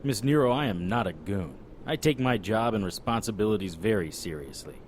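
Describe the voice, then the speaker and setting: An actor playing a serious, plain-spoken 1930s private detective in a noir audio drama. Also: male voice, fairly low pitch, lively intonation, fairly quick, slight background noise, natural voice. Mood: polite, firm. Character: earnest, dutiful 1930s private detective, a man. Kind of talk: noir audio drama acting and first-person narration. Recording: music behind the voice.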